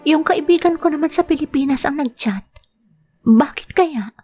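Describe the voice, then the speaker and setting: A woman's voice: sits high, moderate pace, lightly acted. A woman playing a young woman working abroad who is heartbroken, talking in an emotional, tearful way in an audio drama. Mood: hurt, tearful. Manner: tearful, pleading, emotional outbursts. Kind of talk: Filipino audio drama acting. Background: soft music.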